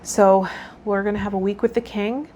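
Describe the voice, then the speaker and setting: A woman's voice: medium pitch, level tone, moderate pace, dark tone. A woman hosting a personal vlog, talking casually in a rambling, self-effacing way. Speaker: host of a tarot vlog. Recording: clear background noise.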